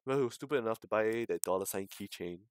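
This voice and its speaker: A man's voice: lowish voice, fast, smooth, no echo. A man streaming, reading a visual novel aloud with dramatic narration and his own commentary.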